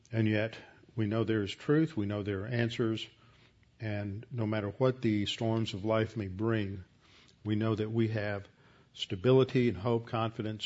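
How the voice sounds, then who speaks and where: Halting pastor, dull lo-fi sound. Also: male voice, lowish voice. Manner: halting, with many fillers and restarts. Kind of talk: Bible class with announcements and prayer.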